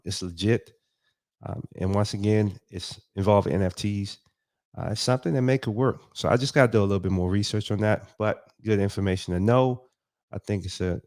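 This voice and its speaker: A man's voice: on the low side, darkish tone. A man with a stuffy nose from a cold, talking loosely through his thoughts with frequent ums and restarts as he comments on and reads out a news article. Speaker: host of a crypto commentary show. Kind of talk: casual commentary, reading a news article aloud.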